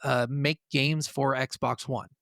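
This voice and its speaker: A man's voice: medium pitch, steady tone, takes it slowly, creaky, fairly bright tone, no echo, clean studio sound. A man co-hosting a gaming podcast in casual, enthusiastic, sweary conversation. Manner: informal, profane, enthusiastic. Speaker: podcast co-host.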